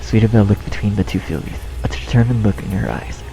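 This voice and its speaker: Male narrator, fairly low pitch, dramatic scripted storytelling, clear background music. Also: fast talker, rough recording.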